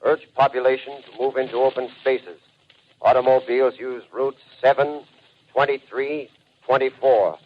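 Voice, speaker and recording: Man playing urgent emergency announcer, middling pitch, clipped official phrasing, 1930s radio drama, muffled vintage recording. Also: fairly even intonation, normal speed, slight background noise, close to an everyday voice. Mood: urgent warning. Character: urgent male emergency announcer broadcasting an evacuation warning. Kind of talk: 1930s radio acting, telegraphic bulletin.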